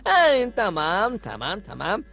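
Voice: medium pitch, huge pitch swings, a little slow, vocal fry, full character voice. An actor playing a mischievous, cowardly boy prankster in a cartoon dub, with a taunting and whiny manner. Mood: placating. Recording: music behind the voice.

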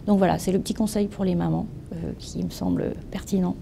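Gravelly-voiced French woman in her thirties. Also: fairly low pitch, fairly quick, faint music behind. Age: 30s. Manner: animated, gestures with hands, playful wordplay. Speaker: entrepreneur interviewee. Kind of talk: answering interview questions. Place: event stand with branded backdrop, indoors.

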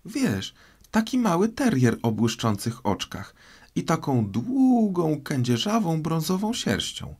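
A man voicing a polite, eager little girl in a storybook, chattering earnestly and quick to apologise. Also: on the high side, big pitch swings, darkish tone, voice pushed up, full character voice. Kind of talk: storybook character voice.

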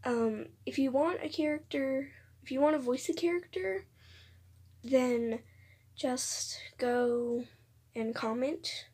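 A young woman with a high, slightly breathy and rough voice that cracks now and then. She makes an informal, rambling announcement to her viewers at an unhurried pace, hesitating and circling back over her phrases. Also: darkish tone. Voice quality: occasional voice cracks. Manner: hesitant, informal, repeats herself. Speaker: video creator announcing voice auditions. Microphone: phone voice recorder app.

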